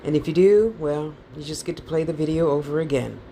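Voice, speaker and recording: A woman's voice: low-pitched, fairly quick, warm tone, slight background noise. A woman leading a guided meditation class with soothing, informal instructions, playful asides and lulling repeated phrases.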